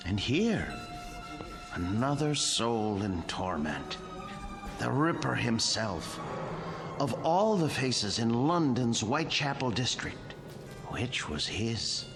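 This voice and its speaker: An actor playing a devoted, intense museum curator in an audio drama, precise, polite and theatrical, with music underneath. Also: male voice, mid-range voice, big pitch swings, slow. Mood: macabre, theatrical. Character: devoted, brooding middle-aged male wax museum curator, deferential and intense.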